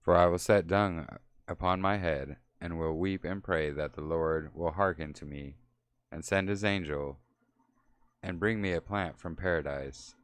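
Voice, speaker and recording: A man's voice: low-pitched, measured pace, clear, resonant tone, dry recording. A man reading the lines of an earnest, devoted son in archaic speech.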